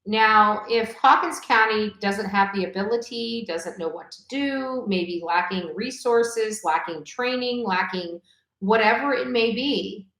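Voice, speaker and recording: A woman's voice: mid-range voice, unhurried, fairly bright tone. Echoey woman livestreamer, emphatic delivery. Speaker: livestream host. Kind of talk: casual livestream commentary. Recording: echoey room.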